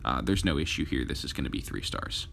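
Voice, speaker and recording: Young male gaming host, low voice with a bright edge, slightly hoarse from a cold, laid-back play-by-play full of ums. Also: fast, a little hiss or hum. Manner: relaxed, frequent "uh" and "um" fillers. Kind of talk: casual gameplay commentary.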